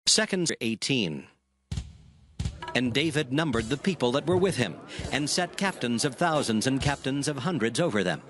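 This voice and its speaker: A man narrating dramatized scripture in a measured, formal reading style. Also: medium pitch, animated, music in the background.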